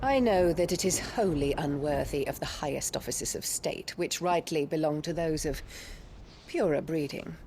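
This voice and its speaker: Low-voiced, haughty scheming noblewoman. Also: noisy background. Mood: contemptuous. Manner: condescending, deliberate, formal speech. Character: haughty, scheming imperial noblewoman, cold and venomous. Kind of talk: dramatic video game cutscene acting.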